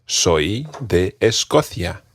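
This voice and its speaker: A man's voice: deep voice, big pitch swings, extremely slow, fairly bright tone, husky, no echo, clean studio sound. A man teaching a podcast language lesson, patiently modelling pronunciation and repeating drills for a learner. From Scotland.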